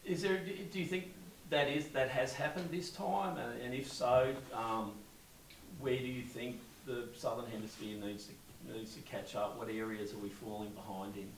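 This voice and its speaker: A man's voice: medium pitch, steady tone, normal speed, slight background noise. A male journalist asking rambling, conversational questions at a press conference. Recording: distant mic in echoey room.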